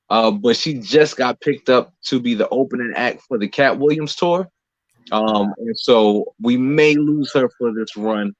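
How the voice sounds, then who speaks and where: A man answering as an interview guest in a halting, explanatory, conversational way, pausing between phrases. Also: medium pitch, no echo, muffled recording. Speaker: interview guest, playwright and lead actor.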